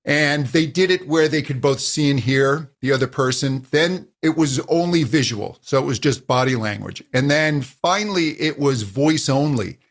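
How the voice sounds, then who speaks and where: A man's voice: mid-range voice, moderate pace, hardly any pauses. Voice coach man explaining animatedly. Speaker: voice coach, guest. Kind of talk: explanatory interview answers.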